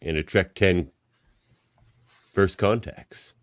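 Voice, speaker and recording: Deep, smooth-voiced man co-hosting. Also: slow pace, no room sound. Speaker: podcast co-host. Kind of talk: casual show promotion chat.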